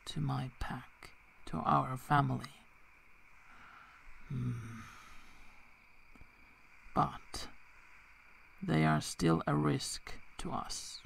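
A woman voicing a stern, disappointed werewolf father and pack leader in a whispered ASMR roleplay, speaking gravely and haltingly. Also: extremely low pitch, expressive, slight background noise, pitched below the performer's own voice, exaggerated voice, poor audio. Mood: grave disappointment. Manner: grave, slow, halting delivery.

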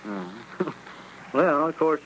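Easygoing small-town Southern advisor. Shrewd, conversational, expressive pitch, vintage radio serial with some noise. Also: male voice, very slow, heavily acted. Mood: amiable. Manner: chuckling, folksy, conversational. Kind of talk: 1930s radio comedy serial acting. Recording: noisy old 1930s radio recording.

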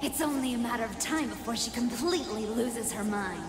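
A woman's voice: on the high side, fairly quick, heavy background noise, close to an everyday voice. An actress playing a female android who fights a monstrous hunger, in a video game cutscene. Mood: sinister. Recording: echoing voice under loud music.

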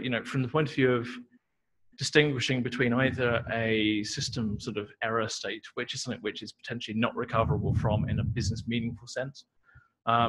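A man's voice: mid-range voice, fairly bright tone, low-quality recording. A man answering audience questions at a tech meetup, casual and explanatory, full of "you know" and "sort of". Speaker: tech talk co-presenter. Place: meetup talk venue indoors.

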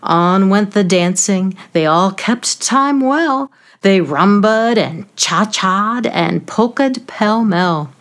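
A woman author reading a children's story in rhyme, with an expressive, measured storytelling manner. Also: mid-range voice.

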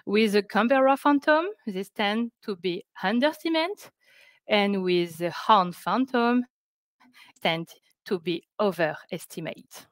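A woman presenting scientific results on a webinar, speaking careful, halting English. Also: medium pitch, animated, dry recording. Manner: careful, halting non-native English delivery. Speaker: researcher presenting project results. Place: indoors on a video call.

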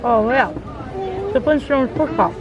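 A woman's voice: high-pitched, lively intonation, measured pace, muffled recording. Chatty woman vlogging outdoors. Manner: chatty, candid, hesitant with ums. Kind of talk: casual vlog chat. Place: outdoor theme park. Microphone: handheld camera at arm's length. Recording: outdoor park with music and crowd noise. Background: crowd and park music.

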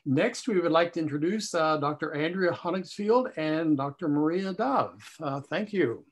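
An older man moderating over a laptop webcam from a room at home. His voice sits somewhat high for a man, and he speaks reflectively, often repeating words as he goes. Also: animated, moderate pace. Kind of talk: moderating a video conference.

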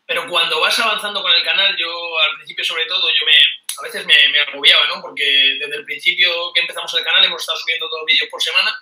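A man's voice: on the high side, fairly even intonation, quick pace, sharp tone, far from the mic. A man in his thirties from Spain, chatting casually as a guest in an interview over a video call, telling stories with energy and laughing often. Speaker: board game youtuber guest. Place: small room at home, indoors. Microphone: webcam mic on a video call. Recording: roomy webcam audio from off-mic.

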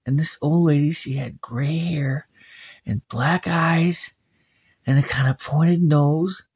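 A man's voice: a little high, slow pace, darkish tone, breathy edge, no echo. A man telling an improvised scary bedtime story as storyteller, in a folksy, plain-spoken manner.

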